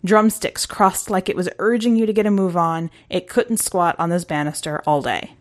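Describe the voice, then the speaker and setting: A woman's voice: on the low side, steady tone, normal speed. A woman reading a first-person short story aloud in a conversational, wry way. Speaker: story narrator.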